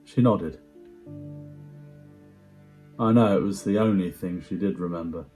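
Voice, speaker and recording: A man giving a dramatic first-person story reading in a hushed, measured way with deliberate pauses. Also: sits low, faint music behind. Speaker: amateur actor performing a story reading.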